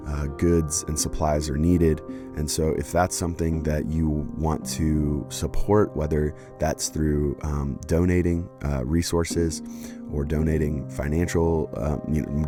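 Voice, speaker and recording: Very deep-voiced man over guitar music. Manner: earnest, filler-heavy, with quick asides. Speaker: pastor and host. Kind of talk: casual video intro and interview hosting.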